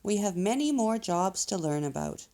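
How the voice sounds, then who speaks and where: A woman's voice: medium pitch, big pitch swings, normal speed, dark tone, lightly acted. A woman voicing an enthusiastic male professor bear who explains things in an encouraging, emphatic way, like a children's storybook guide. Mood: instructive.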